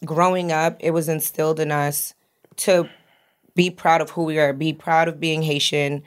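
A woman, a podcast guest telling stories in an animated, lively way, acting out the voices she quotes. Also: low voice, normal speed. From Long Island, New York. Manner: animated storyteller who acts out quoted voices. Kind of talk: casual chat.

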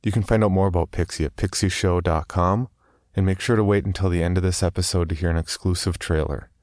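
A man's voice: low voice, steady tone, quick pace, a little mellow, no room sound, studio quality. A man reading scripted announcements as a podcast host.

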